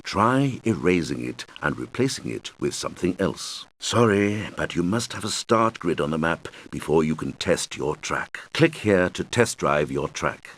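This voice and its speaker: A low-voiced male game guide, with very lively, swooping intonation. He explains things helpfully and simply, as if to children, in scripted tutorial style. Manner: instructional, clearly addressed to children.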